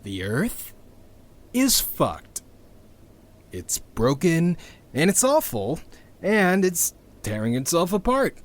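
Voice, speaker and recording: A man playing a jaded, blunt space-mission crew member in an audio drama monologue, speaking in a sardonic, bleak, deliberate way. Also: on the high side, wildly swooping pitch, full character voice. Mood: bitter, sardonic.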